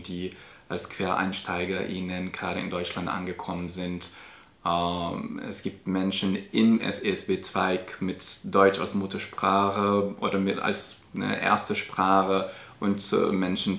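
Deep gravelly man in an echoey classroom. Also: a bit bright. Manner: thoughtful, measured, with many fillers. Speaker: educator. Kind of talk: interview answer. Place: classroom indoors. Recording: echoey room.

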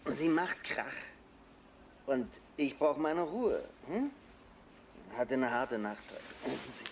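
Homeless man, radio play, gruff, dismissive, rough drawl, very lively intonation, noisy outdoor scene. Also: mid-range voice, takes it slowly.

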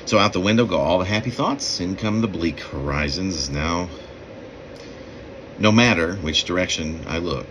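Older-ish guy in his 50s reading his poem, deep voice, halting and emphatic, noisy room sound. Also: lively intonation. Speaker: poet reading own work. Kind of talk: poetry reading. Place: small room indoors.

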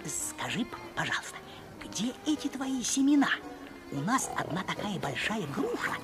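A scrawny little male conman from a cartoon, put on by an actor, high for a man and swooping in pitch. He stutters on his words and talks slyly and confidingly, with music underneath. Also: sing-song, normal speed, natural voice. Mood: sly, conspiratorial. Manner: stutters on p sounds, conspiratorial. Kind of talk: animated film acting.

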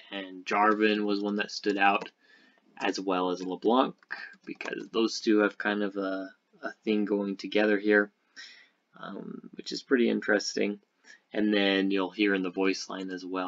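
A man's voice: lowish voice, a bit bright, dull audio. A man giving casual, speculative game commentary over a screen share, rambling and thinking aloud. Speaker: gaming video creator.

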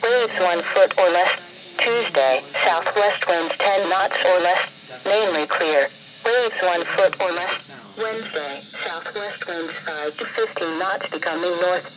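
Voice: medium pitch, a little slow, crowd noise. A synthesized announcer reading a scripted forecast in clipped, impersonal phrases over weather radio. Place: played through a handheld radio indoors. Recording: muffled, noisy weather radio broadcast.

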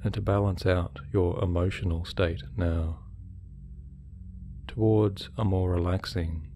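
A man guiding a hypnosis meditation in a soothing, measured way with deliberate pauses. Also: sits low, fairly even intonation, warm tone, slight background noise. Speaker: hypnotherapy guide. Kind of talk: guided meditation, hypnosis narration. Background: relaxation music.

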